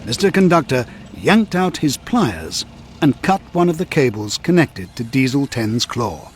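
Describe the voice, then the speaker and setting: A male narrator tells a children's tale in an expressive storytelling style. His pitch moves widely and his voice has some huskiness. The recording is dry and close. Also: breathy edge, dry recording. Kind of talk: scripted children's read-along story narration.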